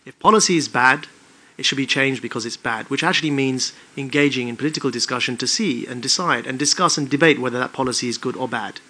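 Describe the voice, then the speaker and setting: A British-born man giving an articulate, emphatic public talk in measured phrases. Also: mid-range voice, pushed and bright. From United Kingdom. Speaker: public speaker.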